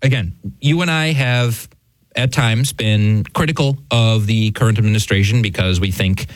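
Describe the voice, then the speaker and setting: Man with a lowish dry voice. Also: normal speed, dry recording, rough recording. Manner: talks in rambling restarts, chuckling. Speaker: news show co-host. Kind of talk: casual news commentary.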